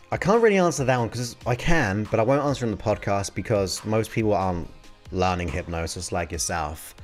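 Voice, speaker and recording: Low, unhurried male voice in his forties, chatting casually through a live question-and-answer stream. He muses aloud and reads viewer questions as he goes. Soft music plays faintly behind him.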